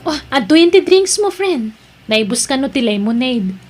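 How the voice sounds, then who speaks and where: A woman's voice: high-pitched, big pitch swings, normal speed, a little mellow, faint music behind. A woman playing a caring, devout, chatty friend in an Ilocano drama, with an Ilocano accent. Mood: caring, inquisitive. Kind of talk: Ilocano radio-style drama acting.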